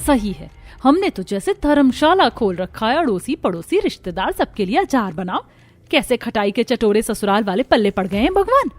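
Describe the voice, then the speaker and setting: A woman's voice: a little high, very animated, normal speed, no room sound. A woman voicing a put-upon young daughter-in-law in a cartoon, complaining in sarcastic, exasperated asides. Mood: sarcastic irritation. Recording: music bed under the voice.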